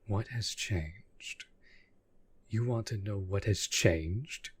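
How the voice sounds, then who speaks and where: A man playing a possessive, proud and devoted demon lover in an intimate audio roleplay, speaking formally and intensely with a breathy, husky voice. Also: fairly low pitch, no echo, exaggerated voice. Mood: insistent. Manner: slow, formal, deliberate, intense.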